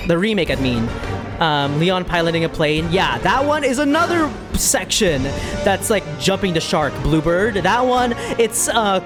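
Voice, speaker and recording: A game streamer chatting casually and thinking aloud while giving opinions to viewers in a conversational way. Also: mid-range voice.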